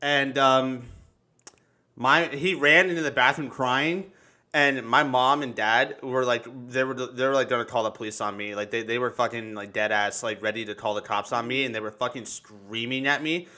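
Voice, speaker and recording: A man chatting casually and telling personal stories, halting and repeating words, swearing freely. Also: medium pitch, fairly even intonation, bright, forceful tone. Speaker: livestream talk show host. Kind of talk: casual chat, personal storytelling.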